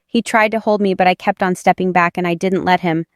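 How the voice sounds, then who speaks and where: Smooth, clear woman narrating dramatic romance. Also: lowish voice, fairly even intonation, brisk, dry recording, studio quality, faint music behind. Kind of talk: dramatic first-person audiobook narration.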